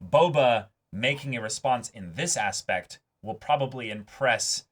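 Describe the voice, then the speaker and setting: A man's voice: medium pitch, measured pace. A man co-hosting a reaction show in casual discussion, emphatic and given to repeating phrases for stress.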